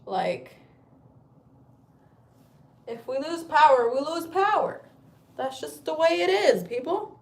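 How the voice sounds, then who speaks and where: A woman's voice: high-pitched, animated, slow pace, creaky, long pauses. A woman in her thirties, from Chile, chatting casually on a livestream from home, rambling and swearing freely. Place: at home, indoors.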